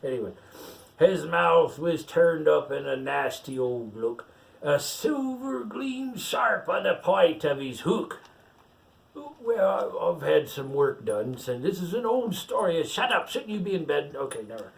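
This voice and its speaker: A man voicing a gruff, jovial old male pirate captain, reading a rhymed picture book aloud with folksy, chatty asides. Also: a little high, sing-song, measured pace, distant mic, exaggerated voice.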